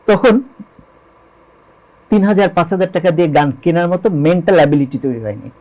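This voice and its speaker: Male interview guest, Bangladeshi accent, high register, earnest and emphatic storyteller. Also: brisk, a little mellow. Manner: emphatic, uses rhetorical questions and repetition. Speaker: interview guest, songwriter and composer.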